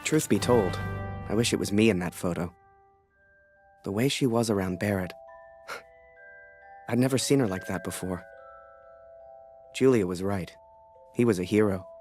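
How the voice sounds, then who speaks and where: A man's voice: lowish voice, unhurried, long pauses, a little mellow, natural voice. An actor playing a wistful young soldier-photographer who narrates his memories in a video game. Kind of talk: first-person game narration. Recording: music behind the voice.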